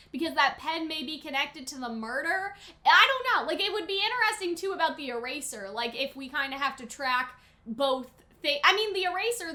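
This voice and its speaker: A woman with a high and very bright, forceful voice gives casual reaction commentary. She talks expressively and conversationally, with plenty of slang, as the host of a review.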